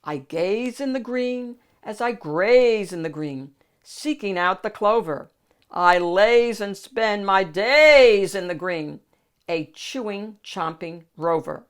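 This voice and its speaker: A woman's voice: mid-range voice, lively intonation, takes it slowly, clear, resonant tone. Woman playing a lazy, singsong donkey. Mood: drowsy, contented. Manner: singsong rhyming recitation. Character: sleepy, lazy rhyming male donkey. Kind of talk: storybook character voice.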